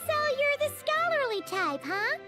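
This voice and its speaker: Game fairy sidekick: very high, childlike, highly animated, cheeky. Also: female voice, a little slow, music in the background, heavily acted. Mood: curious. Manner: perky, exclamatory, refers to self by name. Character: small, childlike, cheeky floating fairy companion. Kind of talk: animated fantasy game voice acting.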